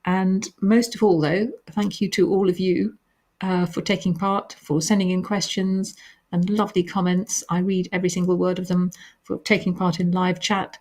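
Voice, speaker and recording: A woman with a calm, soothing voice hosts a live question-and-answer stream. She reads each question aloud, then answers with gentle pauses and hesitations. Her voice sits a little low for a woman. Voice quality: calming voice. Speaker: author hosting a live reader Q&A.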